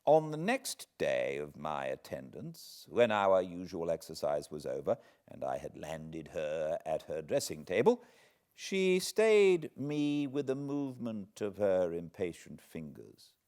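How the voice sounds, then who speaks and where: A British man reading a classic novel aloud as an audiobook narrator, with expressive, deliberate phrasing. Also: sits low. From Britain. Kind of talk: dramatic literary storytelling, read aloud.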